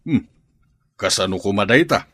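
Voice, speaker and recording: A man's voice: lowish voice, animated, normal speed, pushed and bright, no room sound. A man playing a wealthy, brooding older boss in an Ilocano radio drama. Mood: musing.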